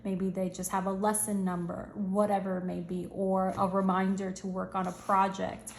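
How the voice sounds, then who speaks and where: A woman hosting a casual planner review, chatty and upbeat with slangy asides, her voice going a little hoarse. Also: mid-range voice, completely flat delivery, normal speed, reverberant, a little hiss or hum. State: losing her voice, slightly hoarse. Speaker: channel host reviewing planners. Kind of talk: casual product review walkthrough.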